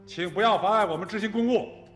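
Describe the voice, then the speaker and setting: A man playing a composed police investigator in a radio drama, talking in a measured, formal, insistent way. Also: high-pitched, a bit bright, faint music behind. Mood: firm.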